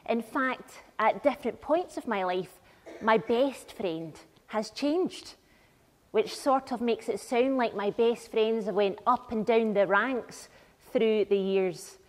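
A woman in her thirties giving a conversational, reflective sermon in a church, pausing between phrases. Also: fairly high pitch, a little mellow. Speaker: minister leading the service. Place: large church interior, at the lectern. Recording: reverberant church hall.

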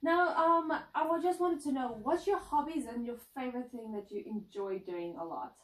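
A woman's voice: high-pitched, normal speed. A woman in her twenties interviewing a guest in a casual, bubbly way, with frequent ums and restarts. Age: 20s. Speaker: announcer and interviewer. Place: indoor lounge set, seated on couch. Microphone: far camera mic. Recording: roomy echo, distant mic.

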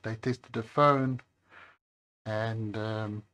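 A man with a low voice talking slowly and casually at his workbench, with many pauses and hesitations. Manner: slow, relaxed, with many hesitations. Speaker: phone repair channel host. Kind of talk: casual talk to camera while working. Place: workbench by a highway.

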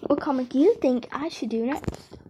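A woman's voice: high-pitched, noisy background. A young woman rambling casually and hesitantly as an amateur video creator, often correcting herself. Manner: hesitant, halting, self-correcting. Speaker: amateur comic dub creator.

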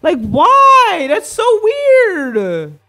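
High-voiced young man, slangy streamer banter. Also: wildly swooping pitch, drawn-out words, bright, forceful tone. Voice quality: slangy, informal speech. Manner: playful, bantering with chat. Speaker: livestreamer. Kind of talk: casual reaction stream commentary. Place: indoor room at a streaming setup. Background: faint background music.

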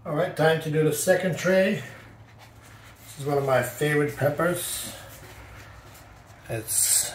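A man's voice: on the high side, measured pace, long pauses, reverberant, slight background noise. Easygoing man from Croatia. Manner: easygoing, enthusiastic, filler-heavy. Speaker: gardening channel host. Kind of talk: casual how-to demonstration.

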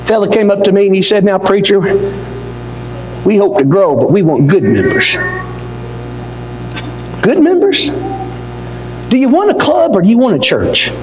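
An older man preaching a sermon from a pulpit, swinging from near-shouts down to low, slow words. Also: big pitch swings, a little hiss or hum. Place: church auditorium. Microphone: pulpit mic, wide far camera. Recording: echoey hall with long reverb.

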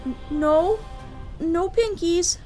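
A woman's voice: extremely high pitch, extremely slow, mellow, faint music behind, exaggerated voice. A woman voicing a wary little boy dragon sidekick in a cartoon comic dub, hesitant and childlike. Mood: reluctant.

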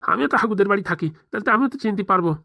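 A woman's voice: mid-range voice, rapid-fire, creaky, pushed and bright, no echo, studio quality. A woman voicing a friendly, inquisitive older village uncle in a comic cartoon, chatty and folksy. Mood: friendly, curious.

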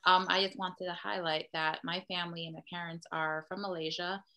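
A woman's voice: on the low side, flat delivery, a little fast, pushed and bright. A young woman lawyer from New York City introducing herself carefully on a video call panel.

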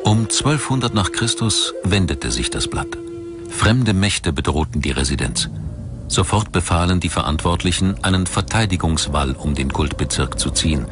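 A man delivering scripted documentary narration in a measured, formal storytelling manner. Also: deep voice, lively intonation. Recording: music bed under voice. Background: background music.

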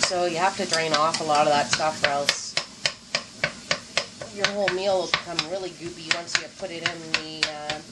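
A woman's voice: low voice, fairly even intonation, normal speed, very noisy. A middle-aged woman walking through a recipe in her home kitchen, chatty and joking, with plenty of ums. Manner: chatty and joking, rambling with fillers. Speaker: home cook demonstrating a recipe. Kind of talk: casual cooking demo. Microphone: handheld camera mic nearby. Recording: home video with kitchen clatter. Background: pans and cutlery clatter.

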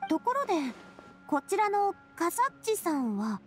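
Friendly confident anime game lady, high voice, super lively intonation, caring. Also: a little slow, soft background music, full character voice. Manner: bright, friendly, expressive. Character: confident, caring, sociable young woman leader. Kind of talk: Japanese anime game acting.

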